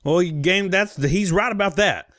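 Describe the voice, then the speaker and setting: A man's voice: high-pitched, moderate pace, fairly bright tone, dry recording, studio quality. A man giving casual, blunt and sarcastic commentary as a streamer reacting to a recording.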